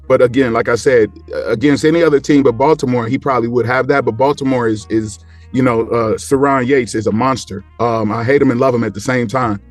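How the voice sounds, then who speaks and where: A man's voice: mid-range voice, steady tone, a little fast, dry recording, faint music behind. A man in casual sports talk as a panel guest, rambling along and repeating phrases for emphasis.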